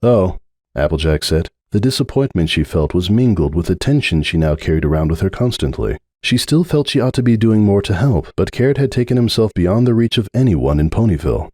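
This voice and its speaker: A man's voice: low voice, expressive, fairly quick, few pauses, a little mellow, slightly rough, dry recording. A man reading a story aloud as a narrator in a dramatic audiobook style, close on the mic.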